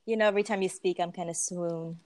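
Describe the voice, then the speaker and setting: A woman's voice: lowish voice, fairly even intonation, fast talker, darkish tone, dry recording. A woman hosting a podcast, chatting casually and bubbly over a remote call, with lots of laughter and fillers. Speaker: podcast host. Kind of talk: casual conversational chat. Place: staying in Puerto Rico, remote call.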